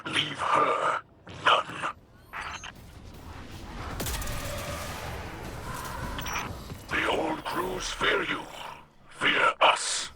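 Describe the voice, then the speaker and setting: An actor playing a grave, proud male alien warrior leader in a video game, solemn and halting, with a breathy rasp. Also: fairly high pitch, huge pitch swings, exaggerated voice. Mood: stern. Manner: solemn, halting, formal speech. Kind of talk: video game dialogue acting. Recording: noisy background ambience.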